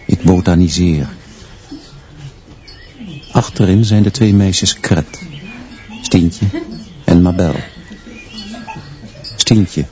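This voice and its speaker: Deep, slow, brooding teacher-narrator. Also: male voice, darkish tone, muffled recording, full character voice. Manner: measured, terse, observant. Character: brooding male teacher narrating his private thoughts. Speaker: actor narrating in a radio play. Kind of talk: literary first-person inner monologue.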